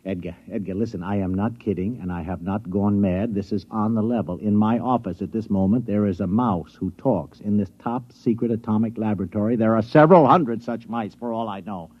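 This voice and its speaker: An actor playing a flustered security chief who stammers and repeats himself, in a 1950s radio drama. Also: male voice, lowish voice, fairly quick, few pauses, slightly rough. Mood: pleading. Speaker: security chief at an atomic laboratory. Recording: dull old radio recording.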